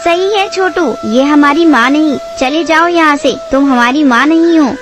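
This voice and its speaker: A woman playing an eager little baby bird in a children's cartoon, childlike and excitable. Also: high-pitched, unhurried, noisy background. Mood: defiant. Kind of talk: Hindi children's cartoon story acting. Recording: music behind the voice.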